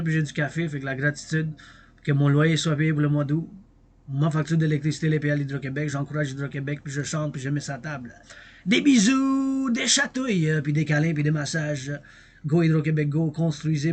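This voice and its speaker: A man's voice: fairly high pitch, slightly rough. A man from Quebec with a Québécois accent, rambling on a livestream in French and English, repeating himself and breaking into song. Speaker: vlogger. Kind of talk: bilingual rambling livestream monologue.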